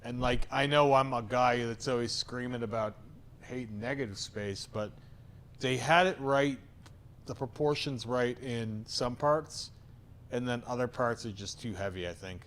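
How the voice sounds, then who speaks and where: A man's voice: mid-range voice, measured pace, fairly bright tone. A man with a soothing voice hosting a tattoo reaction show, giving frank, opinionated critiques with casual swearing.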